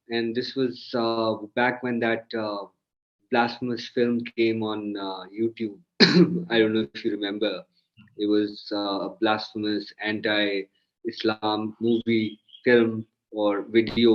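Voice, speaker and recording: A man's voice: on the low side, flat delivery, measured pace, muffled recording. A man from Pakistan, a lawyer and author, answering interview questions at length in an articulate, explaining way.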